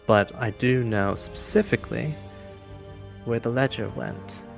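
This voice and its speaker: Man putting on a sly, gravelly female informant. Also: mid-range voice, a little slow, soft background music. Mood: sly, bargaining. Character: shrewd, secretive female informant, a shapeshifter in disguise.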